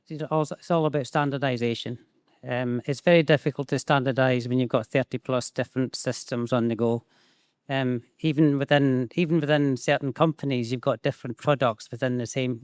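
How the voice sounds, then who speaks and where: A man's voice: medium pitch, clean tone, dry recording, studio quality. A Scottish man speaking on a conference panel about payroll, talking with many fillers and restarts. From Scotland. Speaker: payroll process owner, panelist. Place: conference stage.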